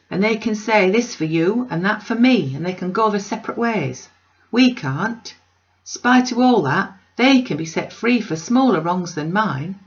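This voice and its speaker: A woman's voice: mid-range voice, muffled recording, pitched above the performer's own voice, lightly acted. A woman voicing an earnest, distressed working-class male weaver who speaks in broad dialect, in a storybook reading. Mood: aggrieved. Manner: earnest, broad Northern English dialect speech.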